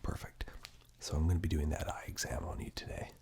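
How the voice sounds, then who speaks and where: A man doing soft-spoken, half-whispered ASMR roleplay, reassuring and unhurried, speaking directly to the listener close to a binaural microphone. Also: level tone. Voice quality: breathy whisper mixed with very deep, gravelly murmur. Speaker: ASMR performer playing caregiver and eye examiner roles.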